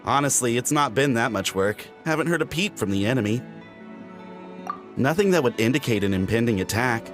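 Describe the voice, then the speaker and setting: An easygoing young warrior from a video game, played by a man. He talks casually and confidently at a middling pitch, with animated inflection. Music plays behind him. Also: a little fast, lightly acted. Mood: relaxed.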